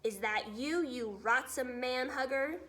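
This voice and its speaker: A woman's voice: sits high, a little slow, bright, forceful tone. A woman voicing a huge, brutish, suspicious man-eating giant who bellows in garbled folksy grammar for a storybook. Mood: irritated.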